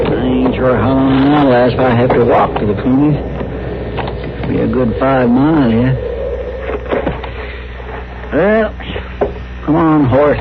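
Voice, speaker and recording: A man playing a creaky, folksy cowboy deputy. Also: on the high side, lightly acted. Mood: weary, muttering. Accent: American, rural Western drawl. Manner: folksy, rambling, deferential, ungrammatical speech. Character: folksy, good-natured cowboy deputy sidekick in a Western. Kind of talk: 1960s radio Western acting. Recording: dull, very noisy vintage radio recording.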